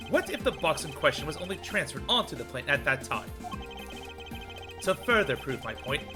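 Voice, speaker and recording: A man's voice: on the high side, close to an everyday voice. A man voicing a composed, logical prosecutor with a formal, theatrical delivery in a comedic game dub. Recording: game music under the voice. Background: game music.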